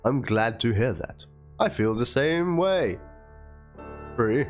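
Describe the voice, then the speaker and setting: A man's voice: mid-range voice, expressive, takes it slowly. A man reading a written document and chat messages aloud in a theatrical manner, as a dramatic narration. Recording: music under the voice. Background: instrumental background music.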